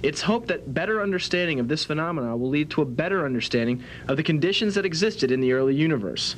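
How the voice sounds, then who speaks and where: A man's voice: a little high, animated, quick pace, a little hiss or hum, low-quality recording. A young man narrating a scripted student television news report. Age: young adult.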